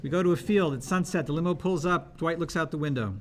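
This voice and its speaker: A man's voice: on the high side, quick pace, background noise. A man reading screenplay stage directions aloud at a live table read. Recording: live room with audience laughter. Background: audience laughter.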